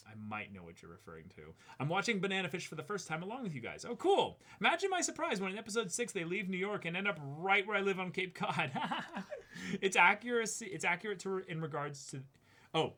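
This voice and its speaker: Animated young man, higher-pitched and bright. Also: fairly quick. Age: young adult. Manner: animated, exclamatory. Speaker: co-host of an unboxing show. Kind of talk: casual excited chat with reading a letter aloud. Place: indoor room, seated before a backdrop.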